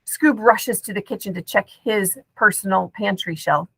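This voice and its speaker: A woman's voice: medium pitch, normal speed, creaky, fairly bright tone, breathy edge, no echo, rough recording. A woman narrating a children's story expressively from a script, acting out the drama as an audiobook reader. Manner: dramatic, acts out dialogue.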